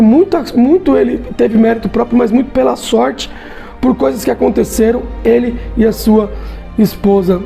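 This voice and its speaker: A man's voice: sits high, vocal fry, mellow, slightly rough. A Brazilian man giving a casual comic book review, chatty and tagging his sentences with little check-ins. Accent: Brazilian Portuguese.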